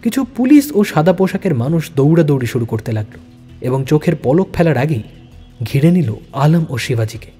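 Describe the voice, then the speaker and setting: A man giving a dramatic scripted narration of a suspense story, with deliberate phrasing. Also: a little high, big pitch swings, warm tone. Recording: long reverb, background music.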